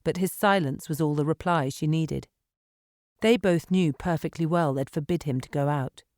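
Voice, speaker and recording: A woman audiobook narrator reading dramatic fiction in an expressive, measured storytelling manner in a studio. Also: low voice, no room sound. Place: dry recording studio.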